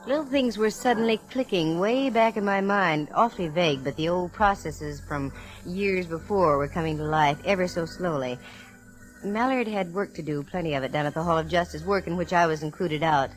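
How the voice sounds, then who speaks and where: A woman's voice: lowish voice, moderate pace, faint music behind, natural voice, poor audio. A woman playing a self-assured, wry American private eye who tells her case in hardboiled old-time radio style. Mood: wry storytelling. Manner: wry, breezy, wisecracking. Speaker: radio detective lead and narrator. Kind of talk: 1940s-50s American radio drama, hardboiled narration.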